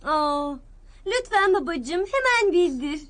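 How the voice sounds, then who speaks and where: A woman's voice: extremely high pitch, very animated, slow, fairly bright tone, full character voice. An actress dubbing an eager young princess in a cartoon who coaxes her father insistently. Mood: pleading. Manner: coaxing, insistent. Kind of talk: Turkish cartoon dub.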